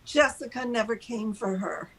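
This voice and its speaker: An older woman telling an anecdote casually, in a deliberate and emphatic manner, as a talk show host. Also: fairly high pitch, breathy edge, poor audio. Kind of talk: casual storytelling.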